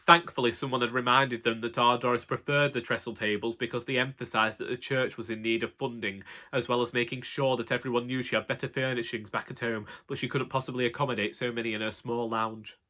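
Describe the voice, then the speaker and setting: Man, Northern English accent, comic audiobook narration, wry, colloquial, bright forceful tone, medium pitch. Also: steady tone, quick pace, hardly any pauses. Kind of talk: comic first-person storytelling.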